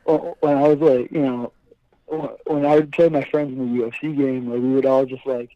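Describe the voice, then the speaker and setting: A young man from Boston, a guest on a casual chat, laughing often and talking in a relaxed way over a remote call. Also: mid-range voice, brisk, dry recording. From Boston, USA. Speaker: guest, fighter. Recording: dry, dull call audio.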